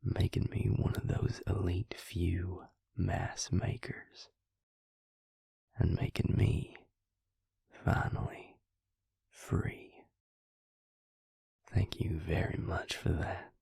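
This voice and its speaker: Guy doing a low creaky vampire, smug n manipulative, whispery audio roleplay right up on the mic. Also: flat delivery, extremely slow, long pauses, warm tone, no echo, studio quality, exaggerated voice. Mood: smug, explaining. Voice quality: husky, breathy, gravelly half-whisper. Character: devious male vampire recruiter, smug, coolly menacing, manipulative. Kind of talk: intimate whispered audio roleplay, addressed to the listener. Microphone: close, dry microphone.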